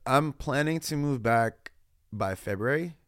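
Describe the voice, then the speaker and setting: A man's voice: mid-range voice, measured pace, clean tone, no echo, studio quality. A man in his thirties from Guam and California, telling stories in casual, slangy podcast chat as a guest. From Guam and California, born in the Philippines. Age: 30s. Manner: slangy, animated storytelling with quoted asides.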